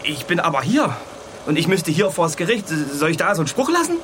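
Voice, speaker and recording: A man's voice: fairly high pitch, big pitch swings, brisk. A man playing a worried, streetwise young petty offender in a radio drama, anxious, slangy and pleading. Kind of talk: German radio drama acting. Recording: engine and car noise behind.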